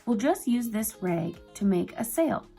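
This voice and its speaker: A woman voicing a boastful, excitable male mouse cousin who squeaks and teases. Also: a little slow, vocal fry, slightly rough, faint music behind, exaggerated voice. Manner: squeaky, teasing.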